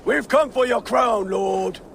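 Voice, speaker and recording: An actor playing a stern, loyal Viking war leader in a video game, speaking with grave command. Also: male voice, extremely high pitch, takes it slowly, vocal fry, exaggerated voice. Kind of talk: cinematic video game acting. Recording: loud outdoor noise and horse hooves behind.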